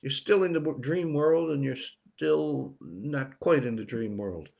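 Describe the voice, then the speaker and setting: An older man from Newfoundland, a writer being interviewed, speaking reflectively with pauses and repeated words for emphasis. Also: a little high, vocal fry, darkish tone. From Newfoundland, Canada.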